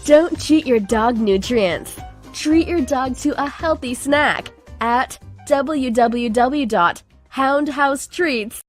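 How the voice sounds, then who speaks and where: A woman's voice: high voice, takes it slowly, music in the background. A woman reading a radio advertisement in an upbeat, salesy way. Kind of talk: radio ad read.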